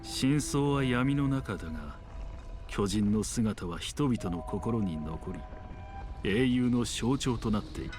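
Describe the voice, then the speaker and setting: A man's voice: fairly low pitch, animated, moderate pace, natural voice. An actor playing a solemn, dramatic male storyteller in a fantasy game, delivering scripted narration. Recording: game audio with music.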